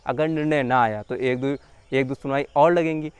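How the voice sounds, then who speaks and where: Young male vlogger, mid-pitched and clear, talks to viewers like friends. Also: fairly even intonation, moderate pace, no room sound. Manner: chatty, repetitive, addresses viewers as friends and brother. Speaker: YouTube channel host. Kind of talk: casual explainer vlog.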